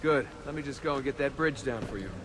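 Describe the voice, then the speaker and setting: A man's voice: medium pitch, fast talker, noisy background, close to an everyday voice. A man playing a sarcastic, grumbling young adventurer in a video game cutscene, with a dry, wry delivery. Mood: dry sarcasm. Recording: music behind the voice.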